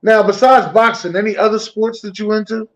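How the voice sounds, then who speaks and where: A man's voice: high voice, steady tone, a little fast. A man hosting a casual interview, talking in a loose, slangy way and often repeating his phrases. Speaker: host.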